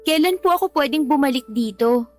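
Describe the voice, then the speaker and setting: A woman's voice: high-pitched, animated, brisk, faint music behind. A woman playing a meek, dutiful young daughter in a Tagalog radio drama, polite and pleading with a Filipino accent.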